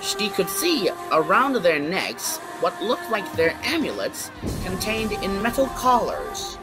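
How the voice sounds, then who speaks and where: A man with a fairly high, lively voice reads scripted audiobook narration. His delivery is slow and varied, with music clearly playing behind him. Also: rough recording. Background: background music.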